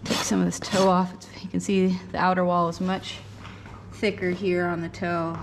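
A young woman giving a casual hands-on hoof trimming tutorial, thinking aloud in short, halting phrases as she works in a barn. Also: on the low side, little pitch movement, low-quality recording. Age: young adult. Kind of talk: casual hands-on demonstration talk. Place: indoor barn with concrete floor. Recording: clear rasping and clattering noise. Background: filing, scraping and clatter of tools.

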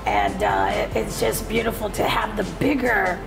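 A middle-aged woman talking in an interview in a hotel lounge, lively and quick to laugh. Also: mid-range voice, vocal fry, music in the background. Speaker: hotel staff guest. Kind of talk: interview chat.

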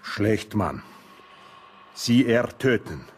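Low-voiced defiant man. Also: sing-song, extremely slow, a little hiss or hum, exaggerated voice. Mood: defiant, upset. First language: Russian or Ukrainian. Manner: broken, curt German. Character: agitated Ukrainian male murder suspect, defiant and curt. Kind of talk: naturalistic radio drama acting.

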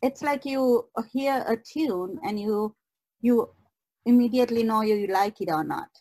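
Higher-pitched woman, casual guest. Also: measured pace, mellow, low-quality recording. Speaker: guest artist. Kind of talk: casual discussion.

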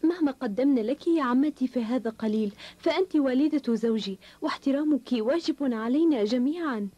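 A woman's voice: high-pitched, brisk, mellow, dull audio. A woman playing a dutiful, firm young wife and mother in a cartoon dub, speaking in a formal, earnest way. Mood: respectful.

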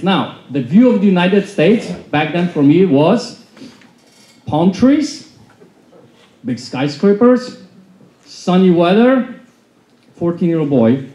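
Polish-born male speaker in his 40s, loud storyteller with a mellow, fairly high voice, handheld mic in an echoey hall. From Poland. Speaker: motivational speaker. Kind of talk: storytelling talk to an audience. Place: large conference hall with a seated audience. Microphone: handheld mic, filmed from the back of the room. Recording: echoey hall, dull sound.

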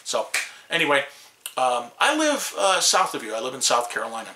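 A man's voice: mid-range voice, huge pitch swings, brisk, pushed and bright, reverberant. A man in his fifties with a Chicago accent, vlogging to camera in a small room, rambling with many restarts and fillers. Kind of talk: casual talk to camera.

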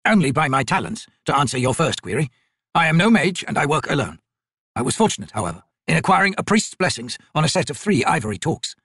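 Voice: mid-range voice, very animated, a bit bright, husky, no room sound, clean studio sound, voice pushed up, exaggerated voice. A narrator playing a meek, nervous old male trader in an audiobook, hesitant and fussily formal. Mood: meek, earnest. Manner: slow, hesitant, formal and fussy.

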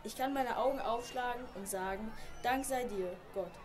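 Woman as idealistic young man, devout, earnest, highish voice, amateur radio drama. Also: moderate pace, a crowd in the background, lightly acted. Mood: earnest, pleading. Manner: earnest, declamatory. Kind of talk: amateur radio play acting.

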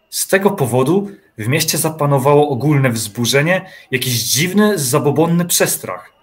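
Man, audio drama narrator, scripted literary prose, mid-pitched voice.

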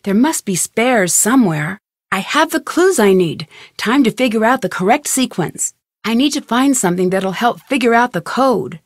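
A woman playing a young, curious and determined amateur detective in a video game, thinking aloud in clearly articulated scripted lines. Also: a little high, lively intonation, normal speed, no echo, clean studio sound. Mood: musing, focused.

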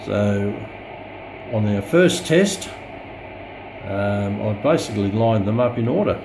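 A man's voice: lowish voice, lively intonation, takes it slowly, creaky. A middle-aged Australian man giving a casual, unscripted product demonstration, hesitant and thinking aloud, recorded on a phone. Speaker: radio hobbyist presenting a video test. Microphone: phone camera held back from speaker. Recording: loud radio static and hiss, phone camera. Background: shortwave radio noise.

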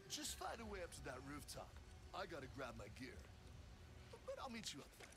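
An actor playing a confident, brisk male ally in a video game. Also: fairly high pitch, wildly swooping pitch, gravelly, noisy background, heavily acted. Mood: commanding. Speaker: game character. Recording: game music under voice. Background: game music.

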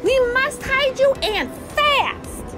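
A woman playing a tiny, frantic, excitable squeaky sidekick creature in a sci-fi audio drama. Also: slow pace, over loud music, heavily acted. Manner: urgent, excitable.

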